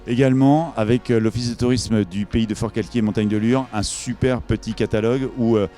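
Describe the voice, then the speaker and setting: A man's voice: mid-range voice, fairly even intonation, fairly quick, dark tone, faint music behind. A man presenting a promotional event interview, improvising and often searching for his words.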